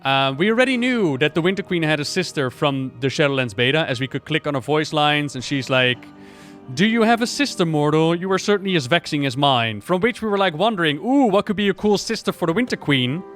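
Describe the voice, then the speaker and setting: A man giving casual, excited reaction commentary on a video game, exclaiming and thinking out loud. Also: on the high side, fairly quick, faint music behind. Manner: expressive, exclamatory, thinking aloud.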